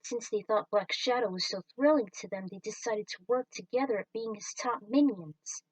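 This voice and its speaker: A woman's voice: fairly high pitch, normal speed, no room sound, recorded from a distance, poor audio. A woman reading her own fan story aloud in a casual, offhand way. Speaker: fan-fiction reader.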